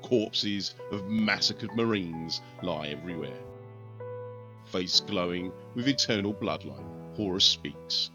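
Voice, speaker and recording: A man reading a dark sci-fi war story as an audiobook, grave and measured. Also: low-pitched, expressive. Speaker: narrator. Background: faint music.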